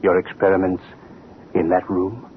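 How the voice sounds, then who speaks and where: An actor playing an arrogant, bullying government official, curt, commanding and pompous, in old-time radio drama style. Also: male voice, low-pitched, little pitch movement, moderate pace, close to an everyday voice. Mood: menacing, mocking. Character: arrogant, bullying propaganda official, a man of authority. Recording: tinny, noisy vintage radio recording.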